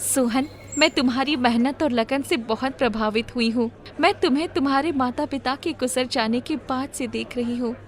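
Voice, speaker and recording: A woman's voice: sits high, normal speed, few pauses, voice pushed up, natural voice. Woman acting sincere, admiring village maiden. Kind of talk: cartoon moral-story dialogue.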